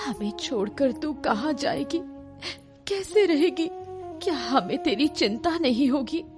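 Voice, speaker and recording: A woman's voice: high-pitched, expressive, a little slow, over music, voice pushed up. A woman voicing a sorrowful village mother in a cartoon story, pleading tearfully. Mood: worried.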